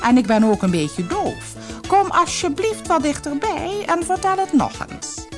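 A woman's voice: extremely high pitch, animated, unhurried, music in the background, pitched above the performer's own voice. A woman voicing a sly old male cat in a storybook, courteous and falsely friendly. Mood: coaxing. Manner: courteous, coaxing. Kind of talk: storybook character voice.

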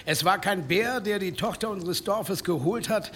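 A man acting an emphatic village headman in a German radio drama, firm and urging calm with authority. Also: sits high, normal speed, faint music behind. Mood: grave, urgent. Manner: emphatic, declamatory.

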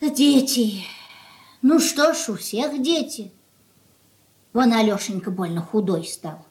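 An anxious old mother of a merchant household in a Soviet radio play. Her voice sits fairly high. She coaxes, fusses and placates in a homely way. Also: lively intonation, slow pace, darkish tone. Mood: anxious, coaxing. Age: older. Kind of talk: Soviet radio drama acting.

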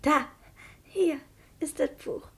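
A woman's voice: high-pitched, slow. An actress playing a frail, sick young girl, trusting and pleading, in a 1950s radio play. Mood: shy. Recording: faintly noisy 1950s radio recording.

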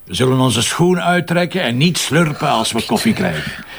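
A gruff Flemish police commissioner in a radio drama. His voice is heavily creaky and his delivery blunt, sarcastic and impatient, over faint noise. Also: mid-range voice, animated, normal speed. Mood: brisk, pressing.

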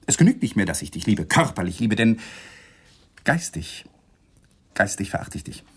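Ein Schauspieler spielt in einem deutschen Krimihörspiel einen gereizten, innerlich zerrissenen Ehemann, theatralisch zwischen beherrscht und heftig. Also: male voice, lowish voice, sing-song, moderate pace, a bit bright, full character voice. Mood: bitter, vehement. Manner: theatrical, swinging from controlled to vehement. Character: exasperated, emotionally torn husband demanding a divorce. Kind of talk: German radio crime drama acting. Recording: 1980s radio play with clear noise.

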